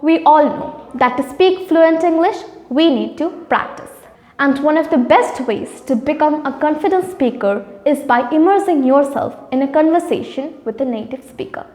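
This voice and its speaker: A woman with a high voice narrates a promotional intro, bright and smoothly read. Her voice rings in a roomy space with a long reverb tail, like a hard-walled room. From India. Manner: upbeat, smooth, evenly read. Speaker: channel host.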